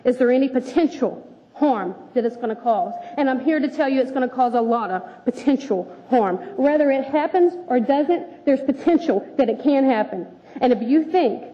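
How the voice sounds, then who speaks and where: A woman's voice: high voice, expressive, normal speed. Insistent woman testifying in an echoing hall. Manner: forceful, insistent. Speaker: public commenter. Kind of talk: impassioned public testimony. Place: city assembly chamber. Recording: dull lo-fi recording in a reverberant hall.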